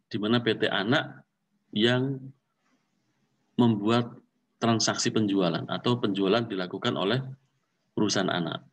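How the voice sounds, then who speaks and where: A man's voice: fairly low pitch, recorded from a distance. A man lecturing on accounting, walking step by step through calculations, repeating figures and mixing in English accounting terms.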